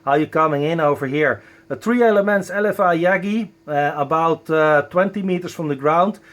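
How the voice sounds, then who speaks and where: A man with a Dutch accent working as an amateur radio operator, enthusiastic but halting, spelling out callsigns at a close microphone. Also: a little high, fairly bright tone. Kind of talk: ham radio contact, spelling callsigns phonetically. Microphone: close broadcast dynamic microphone.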